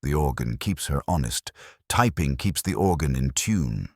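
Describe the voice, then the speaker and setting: A man reading a scripted literary mystery as narrator, in a measured, formal storytelling manner. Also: extremely low pitch, animated, no room sound, studio quality.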